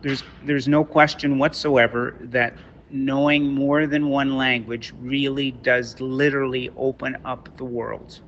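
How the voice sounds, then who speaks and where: A man whose first language is French, from Canada, giving a talk to parents as a teacher, halting with many restarts. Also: mid-range voice, level tone, a bit bright, highs cut off, slight background noise. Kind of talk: online lecture to parents. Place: Toronto.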